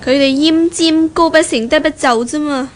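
Casting: woman playing young fiancée, high voice, proud, poised and probing, vintage radio drama with slight noise. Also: normal speed, natural voice. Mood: assertive. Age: young adult. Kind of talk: 1970s Cantonese radio drama acting. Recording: noisy 1970s radio drama recording.

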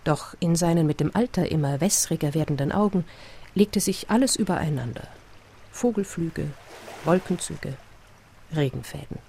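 A woman narrating a radio play in a measured, essayistic, literary manner. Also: low voice, animated, warm tone, a little hiss or hum. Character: measured documentary narrator of explorer history.

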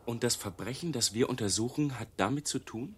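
An actor playing an inquisitive young novice monk in a radio drama, eagerly questioning. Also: male voice, mid-range voice, fairly quick, breathy edge, close to an everyday voice. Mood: curious.

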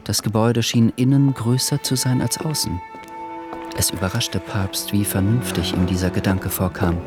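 Man as measured narrator for a literary radio play, fairly low voice, bit of music behind. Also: steady tone, lightly acted. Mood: measured, uneasy.

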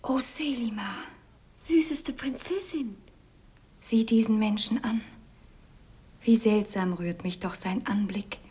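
A woman playing a haughty young princess in a theatrical radio play, breathy and intense. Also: on the high side, takes it slowly, long pauses, mellow, exaggerated voice. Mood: hushed, wondering.

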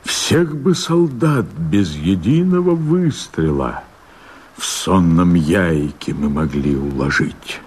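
A wild-hearted peasant rebel of the steppe in a radio play. His voice sits very low and rough with huskiness. He declaims verse with great slowness and weight. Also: expressive, a little mellow, heavily acted. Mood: sly, eager. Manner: slow, weighty, poetic declamation. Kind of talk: theatrical radio drama verse.

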